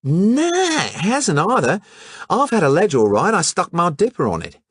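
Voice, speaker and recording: Male narrator doing brash young Aussie art student, high, loud, slangy, lively. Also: moderate pace, slightly rough, dry recording, heavily acted. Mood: eager. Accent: Australian. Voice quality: broad colloquial slang.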